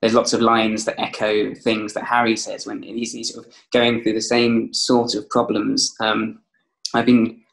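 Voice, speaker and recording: A man's voice: fairly low pitch, completely flat delivery, unhurried, creaky, rough recording. A young man, a stage actor, answering thoughtfully and hesitantly on a video call. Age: young adult. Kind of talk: casual panel interview chat. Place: small room at home.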